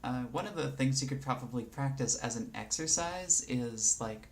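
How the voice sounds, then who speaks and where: Low-voiced woman, rambling casual tips. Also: normal speed. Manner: relaxed, rambling with many fillers. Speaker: vlogger. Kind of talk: casual tutorial on voice and singing. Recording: faint background noise.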